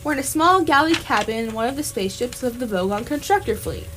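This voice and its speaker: A woman playing a calm, practical alien hitchhiker in an amateur radio play. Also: fairly high pitch, quick pace. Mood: calm, matter-of-fact. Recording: clear background noise.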